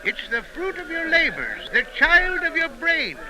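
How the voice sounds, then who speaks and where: An actor playing an enthusiastic, admiring American onlooker, hearty and declamatory, in a noisy 1930s radio drama with outdoor effects. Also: male voice, very high voice, huge pitch swings, measured pace, exaggerated voice.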